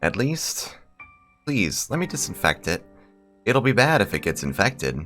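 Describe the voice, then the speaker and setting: A man's voice: fairly low pitch, very animated, measured pace, fairly bright tone, soft background music, voice pushed up. A man voicing a refined, doting rich young lady from a visual novel, with formal, gushing politeness. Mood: concerned, doting.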